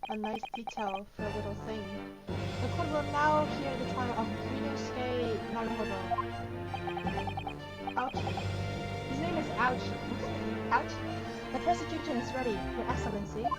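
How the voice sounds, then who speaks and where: A woman's voice: a little high, unhurried. A woman live-streaming a game from her bedroom, reading dialogue aloud and reacting in a dramatic, casual, exclaiming way as she talks to herself. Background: loud game music.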